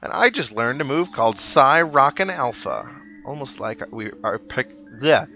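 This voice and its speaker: A man's voice: mid-range voice, expressive, normal speed, bright, forceful tone, highs cut off. A man giving casual let's play commentary, musing aloud and reading out on-screen text as he goes. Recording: game music behind the voice. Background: video game music.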